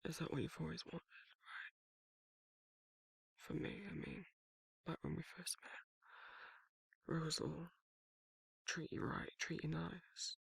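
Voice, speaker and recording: A man playing a protective, tender werewolf lover in intimate ASMR roleplay, murmuring softly and informally with a husky, breathy voice. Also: a little high, little pitch movement, takes it slowly, pauses often, dry recording, exaggerated voice. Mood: tender, coaxing.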